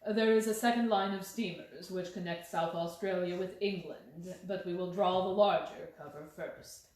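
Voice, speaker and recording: A woman voicing a gentleman detective who is calm, courteous and firm, with a measured, grave and gently insistent manner. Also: on the low side, pitched below the performer's own voice, close to an everyday voice. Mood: pensive. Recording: very echoey room.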